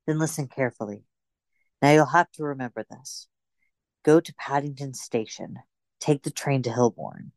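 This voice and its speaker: A woman playing a bold, secretive young rebel lover in a radio play, brisk and teasing. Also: rumbling low, a little mellow, dry recording. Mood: urgent, secretive.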